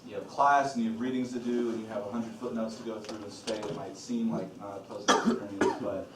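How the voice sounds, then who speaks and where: A man from Illinois, a law review editor-in-chief, leading an orientation in a relaxed, loose way with lots of "uh" and "um". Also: fairly low pitch, quick pace, background noise. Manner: relaxed, loose delivery with frequent "uh" and "um". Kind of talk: casual spoken orientation talk. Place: meeting room with a group of students. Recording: roomy echo, voice from a distance.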